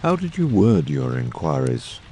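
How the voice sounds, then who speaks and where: A man playing a shrewd, probing Victorian gentleman detective in a dramatic audiobook, incisive and questioning. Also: a bass voice, measured pace, slight background noise, full character voice. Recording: steady rain ambience over voice. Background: rain.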